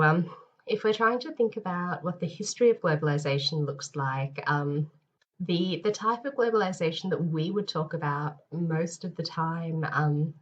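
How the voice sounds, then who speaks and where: A woman lecturer with a head cold, sounding nasal and a bit hoarse, giving a recorded lecture with many ums and restarts. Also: low voice, dull audio. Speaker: university lecturer.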